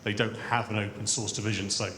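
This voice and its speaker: A man in the audience asking a question at a live event, speaking loudly and in a measured way. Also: on the low side, little pitch movement. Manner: loud, measured. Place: large lecture hall. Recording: echoey hall with faint noise.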